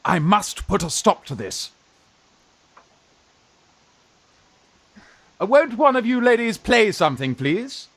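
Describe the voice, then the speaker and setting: A man in a radio farce playing a young fellow who poses as a gruff, flustered old aunt, halting and blustering. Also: sits high, big pitch swings, fairly bright tone, slight background noise. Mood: exasperated asides. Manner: blustering, halting, repeats words. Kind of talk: stage farce radio acting.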